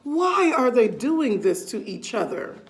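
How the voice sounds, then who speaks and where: A woman's voice: a little high, big pitch swings, unhurried. A woman reading a children's story aloud expressively, stressing key words and adding casual asides.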